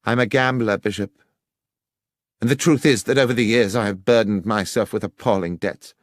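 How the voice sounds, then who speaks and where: A man's voice: fairly low pitch, moderate pace, no room sound, studio quality, lightly acted. A man voicing a bitter, resigned vicar in an audiobook, a beaten gambler making his confession. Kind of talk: audiobook character acting.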